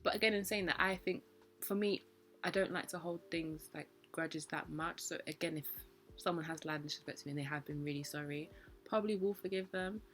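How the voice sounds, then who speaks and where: A young woman hosting a casual podcast chat, thinking out loud in a reflective, emphatic way. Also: deep voice, a little fast, soft background music. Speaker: podcast host.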